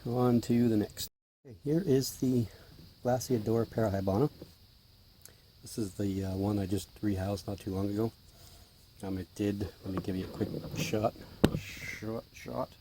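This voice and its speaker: A man with a hoarse voice, clearing his throat as he narrates a casual hobby vlog while feeding his tarantulas indoors, chatty and offhand, sometimes dropping to a hush. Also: fairly low pitch, background noise. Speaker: tarantula keeper filming feedings.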